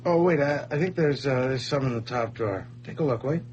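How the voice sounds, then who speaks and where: A man's voice: medium pitch, fast talker, darkish tone, highs cut off, a little hiss or hum, close to an everyday voice. A man playing wisecracking buddy Larry. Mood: groggy. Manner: reassuring, dry joking. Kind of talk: old-time radio drama acting.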